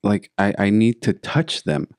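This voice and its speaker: A man hosting a casual interview, relaxed and curious, tossing out quick follow-up questions. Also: lowish voice, no echo, clean studio sound. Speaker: podcast host.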